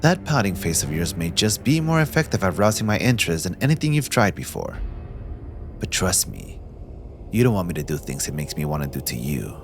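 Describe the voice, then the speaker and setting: A man performing a young mafia heir in an audio drama, possessive and manipulative. His low voice swings expressively as he taunts with polished, condescending courtesy. Soft music plays behind him. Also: very animated, a little fast. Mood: mocking, menacing. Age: young adult. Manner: condescending, smoothly taunting, theatrically polite. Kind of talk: scripted ASMR audio roleplay addressed to the listener.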